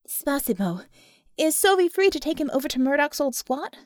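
A woman voicing a brisk young technomage woman, lively pitch. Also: no room sound, studio quality. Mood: brisk, commanding.

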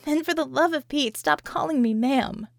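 Narrator doing a sassy caring gal, kinda high voice, breezy n bossy, audiobook dialogue, dry close sound. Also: female voice, normal speed, gravelly, no echo. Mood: mock exasperated. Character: brisk, sassy, caring woman tech-mage friend.

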